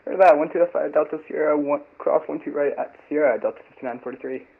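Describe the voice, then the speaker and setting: A man's voice: fairly high pitch, fast, vocal fry, breathy edge. A man playing an airline pilot in a flight simulation, giving readbacks over a radio. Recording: tinny phone-like radio transmission.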